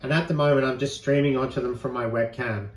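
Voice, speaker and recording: Guy doing a casual maker walkthrough, mid pitched voice, kinda hesitant, thinking out loud. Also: fairly even intonation, fast. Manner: informal, hesitant, thinking aloud. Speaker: maker presenting a DIY electronics project. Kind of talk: casual unscripted project walkthrough.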